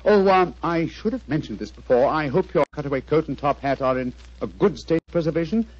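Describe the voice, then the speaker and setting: Urbane middle-aged British sleuth, 1940s radio drama. Husky, very expressive, clipped courtesy, lo-fi sound. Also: male voice, on the high side, fairly quick. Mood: cheerful. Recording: dull lo-fi old radio recording.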